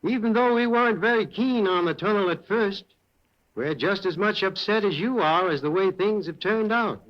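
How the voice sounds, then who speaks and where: An actor playing a hesitant, stammering general manager in an old radio drama. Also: male voice, sits high, normal speed, lightly acted. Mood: uneasy. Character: nervous evasive male mill manager. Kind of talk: 1940s radio acting. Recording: dull old radio recording.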